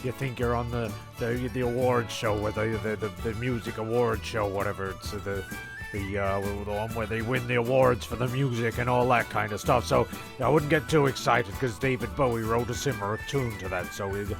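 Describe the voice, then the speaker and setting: Gravelly man narrating over loud music. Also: medium pitch, fairly even intonation. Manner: halting, sardonic, profane. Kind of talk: rambling comic narration.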